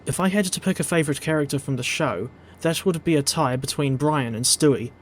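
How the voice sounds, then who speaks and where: Measured New Zealand man narrating. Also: medium pitch, slight background noise. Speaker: video essay narrator. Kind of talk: scripted narration.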